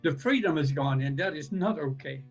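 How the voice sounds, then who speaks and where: A man acting an indignant, higher-voiced old husband. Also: expressive, moderate pace, breathy edge, highs cut off, soft background music. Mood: resentful. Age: 70s. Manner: protesting, indignant. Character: proud, resentful 77-year-old husband losing his driving. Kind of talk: audio play stage acting.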